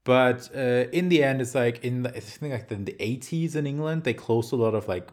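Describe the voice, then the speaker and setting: A man's voice: mid-range voice, normal speed, a little mellow. A man co-hosting a podcast, rambling casually about films with lots of fillers and teasing remarks. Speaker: podcast co-host.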